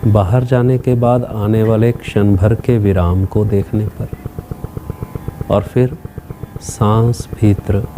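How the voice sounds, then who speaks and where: A man leading a guided meditation as a teacher, speaking calmly in short instructional phrases with long pauses. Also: lowish voice, soft background music.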